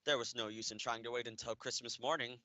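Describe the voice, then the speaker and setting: A man's voice: mid-range voice, steady tone, fast, bright, forceful tone, no room sound, close to an everyday voice. A young man playing an earnest storyteller who narrates a tender radio play.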